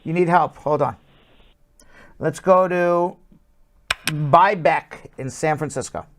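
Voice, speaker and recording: A man hosting a live call-in show as an immigration lawyer, giving brisk, blunt, matter-of-fact advice to callers. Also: on the high side, noisy background.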